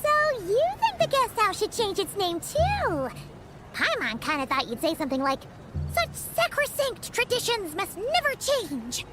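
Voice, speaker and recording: Childlike fairy companion, extremely high, animated, excitable, video game dialogue. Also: female voice, a little slow, noisy background, full character voice. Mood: curious. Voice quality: squeaky, childlike. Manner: refers to self in third person, exclaims. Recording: game music behind the voice.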